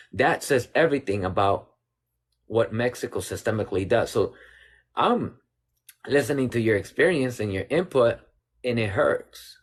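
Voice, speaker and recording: Low-voiced man, earnest and measured. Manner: earnest, measured, mixes in Spanish phrases. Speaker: guest. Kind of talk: casual discussion.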